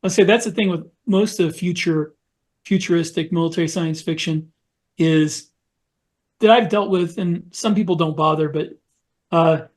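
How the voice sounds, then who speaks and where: A man with a somewhat high-pitched voice in a podcast discussion. He speaks casually and conversationally as one of the co-hosts. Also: moderate pace. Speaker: podcast co-host and author.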